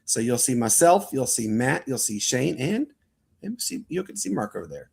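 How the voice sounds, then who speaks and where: A man's voice: mid-range voice, very animated, moderate pace, vocal fry, slightly rough. An enthusiastic man hosts a casual sports livestream. He talks in a promotional, friendly-chat style, like someone signing off a show and plugging what comes next. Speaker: sports talk show host.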